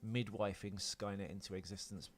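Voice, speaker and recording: A man's voice: fairly low pitch, steady tone, normal speed. A man co-hosting a podcast, talking casually and off the cuff in rambling explanations. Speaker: podcast co-host. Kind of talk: casual unscripted film podcast chat.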